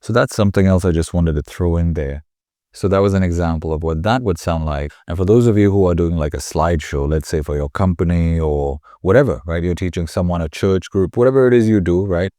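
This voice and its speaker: Deep, dark-toned nasal man. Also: brisk, dry recording, clean studio sound. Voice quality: slightly nasal. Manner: chatty, rambling, self-correcting. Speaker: tutorial host. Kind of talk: casual screen-recording tutorial.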